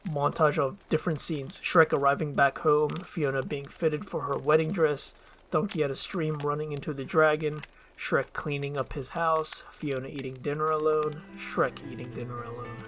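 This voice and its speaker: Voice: medium pitch, fairly even intonation, moderate pace, fairly bright tone, soft background music. A narrator reading a screenplay's scene directions as scripted narration. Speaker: narrator, ASMR voice performer.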